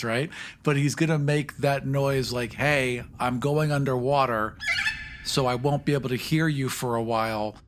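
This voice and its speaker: A man's voice: mid-range voice, a bit bright, slight background noise. A man playing a tabletop game, improvising his character's story as he talks.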